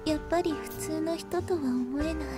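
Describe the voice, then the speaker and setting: Woman acting very high-pitched timid shrine maiden girl. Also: fairly quick, natural voice. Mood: hesitant, puzzled. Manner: hesitant, questioning, polite. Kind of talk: Japanese visual novel voice acting. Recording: game voice over prominent music. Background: music.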